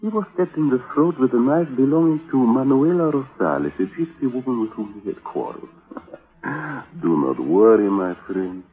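An actor playing a sly, villainous violinist and hypnotist in a 1940s radio mystery, mocking and theatrically courtly. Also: male voice, medium pitch, lively intonation, moderate pace, husky. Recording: tinny old radio recording with music.

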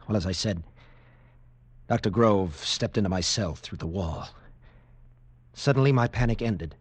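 A man's voice: fairly low pitch, a little slow, husky, dry recording. An actor playing a frightened, desperate military lieutenant, telling his story urgently in 1940s radio drama style. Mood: awed. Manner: urgent, intense, pleading. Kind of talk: 1940s radio acting, dramatic first-person narration. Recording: old radio broadcast, faint music behind.

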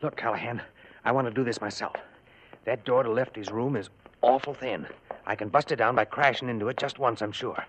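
A mid-pitched male voice of an actor as a tough, gung-ho American policeman in an old radio play. His speech is colloquial and eager, over faint vintage crackle. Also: a little fast, a bit bright, highs cut off, close to an everyday voice. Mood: eager, urgent. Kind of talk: 1950 radio drama acting.

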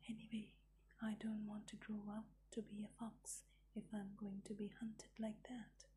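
A woman's voice: medium pitch, completely flat delivery, measured pace, dark tone, husky. A woman whispering the lines of a restless young male fox cub in a storybook reading. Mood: cross. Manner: whispered, cross and boastful.

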